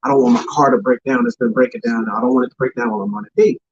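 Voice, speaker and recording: A man's voice: mid-range voice, big pitch swings, very fast, gravelly, muffled recording. A man voicing an easygoing young son talking to his mother in casual, repetitive slang, as part of a told story. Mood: pleading.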